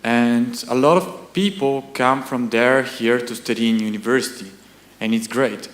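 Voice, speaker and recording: A man's voice: mid-range voice, normal speed. Bulgarian-accented young man, roomy hall. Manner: searching for words, hesitant. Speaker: audience member. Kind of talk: question from the audience. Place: lecture hall.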